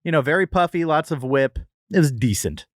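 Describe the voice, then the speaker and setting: Man, slightly higher pitch, very expressive, casual comedic food-review chat, exaggerating. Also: fairly quick, no room sound, clean studio sound. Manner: jokey, hyperbolic. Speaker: podcast co-host.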